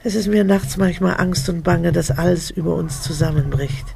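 A woman playing a frail, anxious, kindly old grandmother in a radio play, slow and plaintive. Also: background noise. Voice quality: creaky, low.

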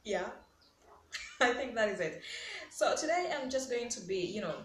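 A woman's voice: fairly high pitch, animated, measured pace. A woman in her twenties with a Ugandan accent, speaking emphatically to camera in a casual opinion vlog and repeating key words. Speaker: vlogger. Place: small bare-walled room indoors. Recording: echoey room with background hiss.

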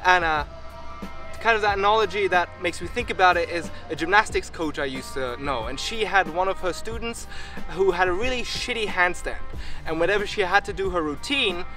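A man's voice: high voice, fairly quick, bright, forceful tone, faint music behind. Upbeat young man vlogging on a rooftop. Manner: chatty and upbeat, laughs often. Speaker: vlogger, parkour filmmaker. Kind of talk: casual vlog talk to camera. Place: outdoor city rooftop.

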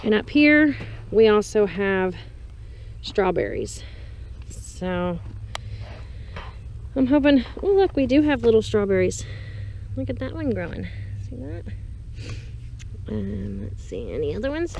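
A woman vlogger giving a chatty, casual walk-through of her garden, pausing between short phrases. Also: medium pitch, big pitch swings, a little mellow. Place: small greenhouse and open farm garden, outdoors. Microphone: action camera's built-in mic, worn or handheld. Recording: outdoor handheld action camera with background noise. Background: clear ambient outdoor noise.

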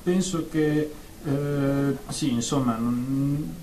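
A man's voice: medium pitch, drawn-out words, warm tone. An actor playing an anxious, phobic male therapy patient in a radio drama, stammering hesitantly and repeating words. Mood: nervous, hesitant. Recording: roomy echo, faint background noise.

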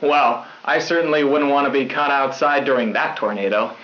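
A young man plays a chipper high school news anchor with a mid-pitched voice. He reads corny scripted lines. The sound is dull, like an old VHS broadcast. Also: normal speed, music in the background, lightly acted. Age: young adult. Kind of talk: scripted 1990s school newscast.

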